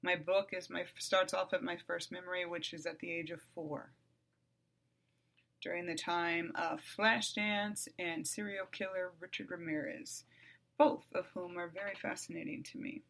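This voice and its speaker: Woman, 40s, podcast host. Solo monologue, calm and even with blunt, profane edges. Crisp, bright tone. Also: lowish voice, normal speed.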